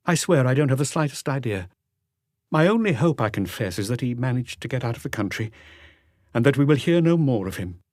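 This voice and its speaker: A man voicing an anxious, dignified middle-aged widow with a halting, earnest, stiffly polite manner in an audiobook. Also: medium pitch, very animated, a little mellow, dry recording, studio quality, pitched above the performer's own voice, close to an everyday voice. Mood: uneasy, pleading.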